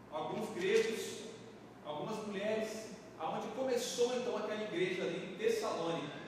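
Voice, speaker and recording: A Brazilian pastor preaching a sermon to his congregation in a conversational way, often checking in with the listeners through short tag questions. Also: high-pitched. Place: church sanctuary. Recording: echoey church hall from far off with background noise.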